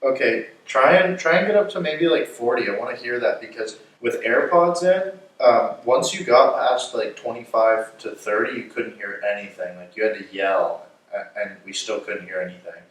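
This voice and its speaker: A man's voice: medium pitch, normal speed, hardly any pauses, a bit bright. Echoey, distant young man chatting casually. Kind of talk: casual phone chat. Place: living room, indoors. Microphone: far camera. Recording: very echoey room, far from mic, noisy.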